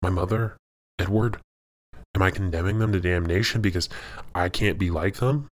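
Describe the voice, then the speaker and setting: Casting: man to play ancient towering demon for audio fiction; deep, hesitant, quiet delivery, burdened and conflicted. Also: close to an everyday voice. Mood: anguished, conflicted. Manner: halting, quiet. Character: anguished seven-foot centuries-old male demon, conflicted, confessing.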